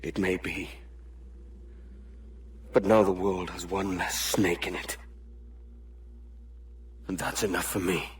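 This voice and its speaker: A man's voice: low voice, level tone, slow pace, pauses often, a little hiss or hum. A man playing a brash, greedy and defiant pirate captain in a video game, with a blunt, impatient way of talking. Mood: bitter. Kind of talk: video game cutscene acting.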